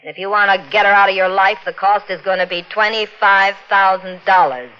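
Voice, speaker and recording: A woman's voice: mid-range voice, fairly even intonation, brisk, clean tone, lightly acted. An actress playing a scheming, blackmailing widow in a 1950s radio drama, cool and clipped in her demands. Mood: cold demanding. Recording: dull old radio recording.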